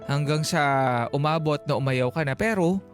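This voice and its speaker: A man's voice: fairly high pitch, moderate pace. A man with a Filipino accent hosting a radio advice show, talking through a problem conversationally with explanations and rhetorical questions. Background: background music.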